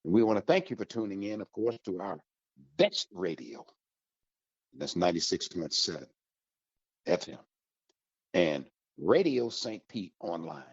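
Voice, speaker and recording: Male radio host, low and raspy, emphatic promo delivery speaking directly to listeners, muffled recording. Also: sing-song, a little slow, pauses often. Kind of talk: upbeat radio promo talk. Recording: dull, slightly lo-fi audio.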